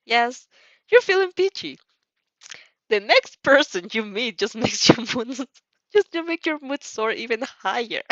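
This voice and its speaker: A woman's voice: fairly high pitch, animated, a little slow, fairly bright tone, dry recording, lo-fi sound. A woman narrating a fan fiction story expressively, giggly and often stumbling over her words.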